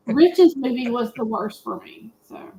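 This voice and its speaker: A woman's voice: on the high side, expressive, measured pace, mellow, slight background noise. A woman chatting casually as a panelist on a film review show. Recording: echoey, roomy voice pickup.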